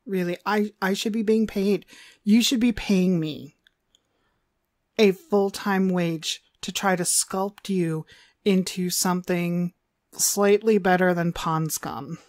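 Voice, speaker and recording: A woman playing a cruel, domineering demonic dog trainer in an ASMR roleplay, haughty and scolding with drawn-out emphasis. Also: fairly low pitch, fairly even intonation, a little mellow, no room sound, studio quality. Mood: disgusted, scornful.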